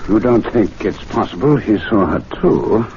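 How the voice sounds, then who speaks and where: An actor playing a dry, composed, knowing gentlemen's club member in a 1970s radio drama, measured and wry. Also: male voice, fairly low pitch, animated, close to an everyday voice. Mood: probing, calm. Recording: muffled, noisy old radio broadcast recording.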